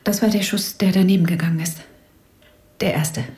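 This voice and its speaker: An actress playing a polite, grieving widow in a naturalistic radio drama, speaking softly and hesitantly with some breathiness. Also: female voice, fairly low pitch, steady tone, moderate pace, natural voice. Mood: subdued, hesitant.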